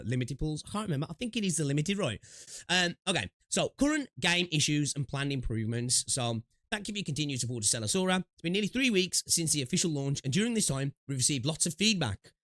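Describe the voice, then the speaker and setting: A man's voice: a little high, very animated, fast talker, fairly bright tone, dry recording. Streamer guy rambling and swearing, bit sniffly, dry close mic. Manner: rambling, swears, many restarts and fillers. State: sniffling from allergies. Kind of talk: casual gaming stream chat.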